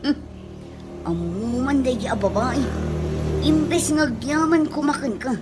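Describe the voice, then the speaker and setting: A high-pitched Ilocano woman, acted as a gossipy freeloading friend who feels wronged. Her delivery is nagging and dramatic, in comedy radio drama style over clearly audible music. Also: slow pace. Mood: aggrieved.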